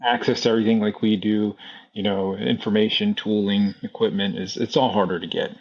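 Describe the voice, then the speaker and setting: A man in his thirties from Brazil, a podcast guest talking casually about running a repair shop, relaxed and repeating himself, often saying "man". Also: on the low side, brisk, lo-fi sound. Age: 30s. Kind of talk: casual conversation.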